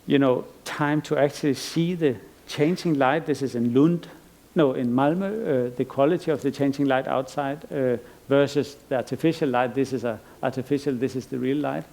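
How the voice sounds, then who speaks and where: A man's voice: medium pitch, normal speed, creaky, darkish tone. A middle-aged man from Iceland giving a conference lecture as an artist, thoughtful and rambling, with frequent restarts and fillers. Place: lecture stage.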